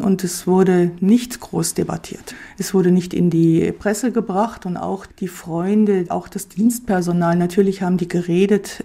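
A woman's voice: fairly low pitch, creaky, warm tone. A woman historian explaining in an interview, with analytical, emphatic phrasing.

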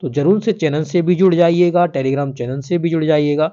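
A man's voice: a little high, moderate pace, dark tone. A man explains official updates to viewers in an informal, instructional voice-over, often repeating himself. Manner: repetitive, instructional, addressing viewers directly. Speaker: exam news YouTuber. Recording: dull, lo-fi voice-over.

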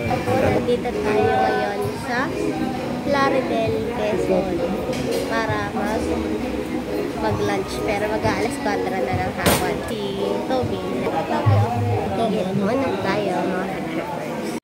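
A woman's voice: medium pitch, slow, loud music behind the voice. A young woman vlogging on a road trip, chatting to her viewers and her dog on a phone held at arm's length. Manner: chatty, thinks aloud with fillers, talks to viewers and her dog. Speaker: travel vlogger. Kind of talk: casual road trip vlog. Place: outdoors by a roadside and in a seaside restaurant.

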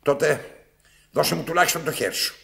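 Radio play: imperious, self-pitying old man, bright, forceful, rasping delivery, highly theatrical. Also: exaggerated voice. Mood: weary, brooding. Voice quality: raspy, gravelly. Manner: imperious, dramatic, pausing and repeating phrases. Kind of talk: theatrical radio drama acting.

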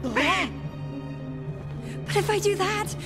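An actress playing a timid, gentle young girl in a video game, with soft, hesitant pleading. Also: very high voice, expressive, drawn-out words, full character voice. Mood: fearful resolve. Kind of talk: video game cutscene acting. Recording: long hall reverb, music behind.

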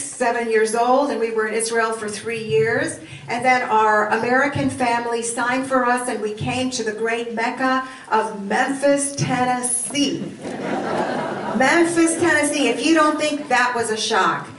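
An older woman from Poland whose first language is Yiddish, telling animated stories in a lecture with a handheld mic in a hall. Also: fairly high pitch. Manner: animated, does voice imitations. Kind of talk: storytelling lecture. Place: hall with curtains, indoors. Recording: roomy hall from far off, faint noise.

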